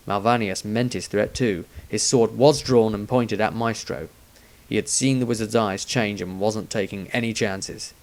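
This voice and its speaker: A man's voice: lowish voice, steady tone, moderate pace, a little mellow, low-quality recording. A man reading his own fantasy story aloud as a scripted, dramatic narration.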